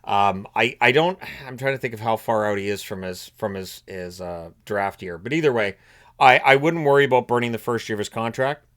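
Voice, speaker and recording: A man's voice: on the low side, brisk, fairly bright tone. A man with a cold, sounding a bit sniffly, hosting casual solo hockey commentary and thinking aloud with frequent fillers and restarts. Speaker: hockey commentary channel host.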